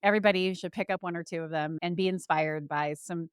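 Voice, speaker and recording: A woman's voice: fairly low pitch, fairly even intonation, fast talker, clear, resonant tone, no echo, clean studio sound. A woman hosting a podcast, speaking in an enthusiastic, friendly way. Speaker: podcast host. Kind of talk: podcast interview and outro.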